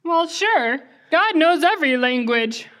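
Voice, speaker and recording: A woman voicing an earnest young boy puppet in a children's church show, halting and innocent. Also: high voice, animated, a bit bright. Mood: innocent, eager. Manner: halting, pompous when praying, otherwise plain childlike talk. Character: earnest young boy puppet, worried about his sister.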